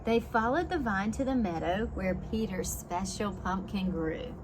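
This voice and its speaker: A woman with a medium-pitched voice reads a storybook aloud to preschoolers. She is expressive and breaks off to explain or ask simple questions. Clear background noise runs under her voice. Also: measured pace. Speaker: preschool teacher reading aloud. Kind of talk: storybook read-aloud for young children, with asides.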